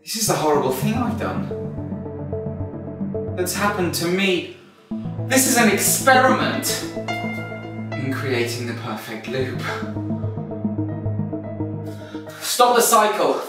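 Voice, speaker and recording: A man's voice: high-pitched, big pitch swings, a little slow, long pauses. An actor playing a confused, anguished man held captive with no memory, hesitant and pleading, in a one-act drama. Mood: dawning horror. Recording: very echoey, distant, loud music behind.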